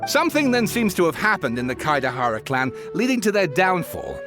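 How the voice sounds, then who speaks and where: Theatrical eccentric writer. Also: male voice, sits high, a little fast. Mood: eager. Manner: theatrical, grandstanding, excitable. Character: eccentric showy male writer and researcher. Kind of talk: video game voice acting. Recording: game cutscene with background music.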